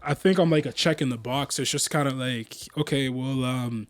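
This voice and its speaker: A man's voice: mid-range voice, moderate pace. A young man co-hosting a podcast, rambling through his thoughts with lots of fillers and calling his friend bro. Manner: rambling, filler-heavy, says bro a lot. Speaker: podcast co-host. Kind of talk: casual chat.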